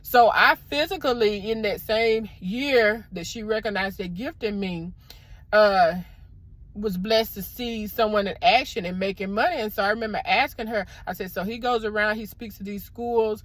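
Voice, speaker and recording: Middle-aged woman, motivational vlogger, loud deep voice, dry close lapel sound. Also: moderate pace, no echo. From American South. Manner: warm preacherly encouragement, addresses viewers as y'all. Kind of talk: casual motivational talk to camera. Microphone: clip-on microphone.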